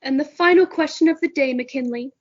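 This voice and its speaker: A woman's voice: sits high, fairly quick, lo-fi sound, natural voice. A woman playing a sweetly insistent old teacher. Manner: sweet but insistent, coaxing. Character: kindly older female teacher, gently controlling. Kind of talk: audio drama acting.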